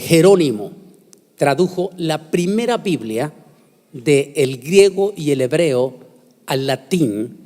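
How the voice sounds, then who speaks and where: A man preaching in a solemn, emphatic sermon-lecture style with deliberate phrasing, recorded in an echoey hall. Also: a little high, darkish tone. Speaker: preacher giving a conference talk. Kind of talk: sermon lecture with scripture reading and prayer. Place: large hall, speaking to a congregation. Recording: echoey hall with long reverb.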